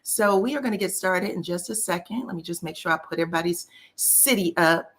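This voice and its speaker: A woman's voice: on the low side, brisk, a bit bright. A woman hosting a casual live-stream gossip chat, scratchy voice, talking folksy and direct to her viewers. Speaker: celebrity gossip YouTuber.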